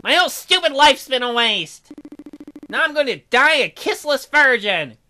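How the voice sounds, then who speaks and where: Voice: high-pitched, sing-song, moderate pace, bright, forceful tone, pitched above the performer's own voice, full character voice. A performer voicing a needy, self-pitying male bear in a visual novel, whining and wailing melodramatically. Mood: wailing despair. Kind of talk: visual novel character reading.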